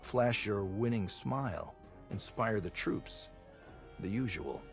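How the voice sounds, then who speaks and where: An actor playing a suave, flirtatious Republic spy in a video game, smooth-talking and casual. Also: male voice, fairly low pitch, lively intonation, takes it slowly, music in the background, full character voice. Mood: confident, persuasive. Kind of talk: video game cutscene acting.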